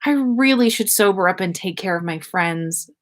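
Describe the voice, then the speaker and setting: A woman's voice: mid-range voice, lively intonation, normal speed, lightly acted. A woman voicing an awkward, easily flustered, tipsy young man in a story read aloud. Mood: polite. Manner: sheepish, muttering asides.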